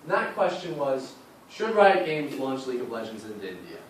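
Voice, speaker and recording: A man's voice: big pitch swings, moderate pace, a little hiss or hum. A teenage boy giving a confident, rehearsed business case presentation. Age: teens. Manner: confident, upbeat pitch. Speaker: student presenter. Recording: roomy echo from far off.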